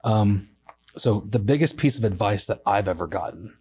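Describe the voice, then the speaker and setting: Man, late twenties, podcast host, very deep voice, upbeat, joking, casual. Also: animated, darkish tone. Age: 20s. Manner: upbeat, joking, quick asides. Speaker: podcast host, worship leader.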